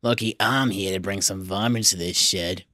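A man voicing a haughty, loud-mouthed male steam engine who brags and huffs in a children's storybook. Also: deep voice, steady tone, moderate pace, dry recording, clean studio sound, pitched below the performer's own voice. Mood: smug, mocking. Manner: haughty, huffy, bragging.

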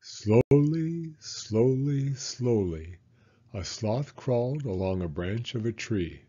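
An older man reading a children's picture book aloud deliberately, with pauses between words. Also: mid-range voice, lively intonation, dark tone, husky, highs cut off. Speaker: storyteller.

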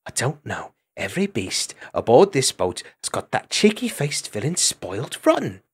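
A touchy young hedgehog maid, prim and quick to scold. Her voice climbs high and sharp when she's cross, swooping through a lively range in this storybook reading. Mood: seething. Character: stern young female hedgehog maid, easily riled.

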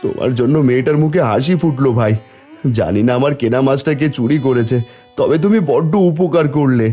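A man's voice: medium pitch, brisk, mellow, soft background music, close to an everyday voice. A man voicing a poor, humble village father in a cartoon, earnest and plaintive. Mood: grateful. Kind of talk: Bengali cartoon folk-tale acting.

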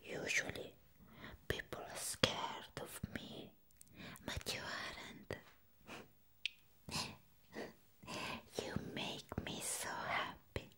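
A woman voicing a sweet, adoring vampire in a whispered ASMR roleplay, speaking tenderly and repeating soft words to comfort a human friend. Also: takes it slowly. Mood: wistful, loving. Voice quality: breathy unvoiced whisper.

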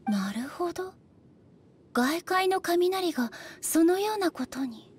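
A woman voicing a composed, serenely proud goddess ruler in an anime game, speaking in measured, formal and polite phrases. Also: very high-pitched, lively intonation, slight background noise, full character voice. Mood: calm, defensive.

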